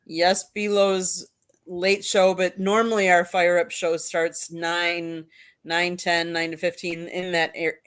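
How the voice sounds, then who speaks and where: A woman's voice: lowish voice, level tone, slow pace, fairly bright tone, clean tone. A woman co-hosting a sports talk show, chatting casually and conversationally.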